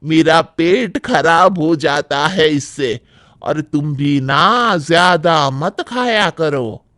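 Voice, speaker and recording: A man voicing an older, motherly mother-in-law in a cartoon story, admonishing gently in drawn-out words. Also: a little high, dry recording, studio quality. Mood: gently scolding. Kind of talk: animated Hindi moral-story cartoon acting.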